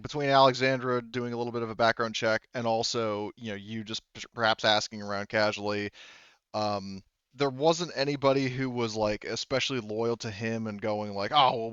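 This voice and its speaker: A man speaking as game master of a tabletop roleplaying game, halting as he thinks aloud. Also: medium pitch, fairly bright tone, smooth, dry recording, highs cut off.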